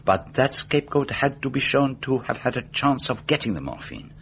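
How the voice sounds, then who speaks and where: An actor playing a meticulous, self-assured detective in a radio mystery drama, setting out a case deliberately with rhetorical questions. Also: male voice, mid-range voice, lively intonation, a bit bright, a little hiss or hum. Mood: measured, revealing.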